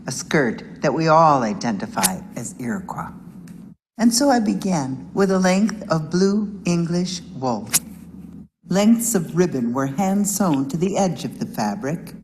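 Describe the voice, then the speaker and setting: Slow, low-voiced woman in reverb. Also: slight background noise. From Wisconsin, USA. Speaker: beadwork artist. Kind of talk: prepared narration for a video.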